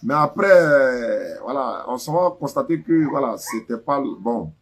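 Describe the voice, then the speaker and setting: A man's voice: mid-range voice, expressive, measured pace, slight background noise. A man with an Ivorian French accent hosting a live religious phone-in, talking informally to listeners and callers. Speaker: host of a religious call-in live stream. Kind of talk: live phone call-in talk.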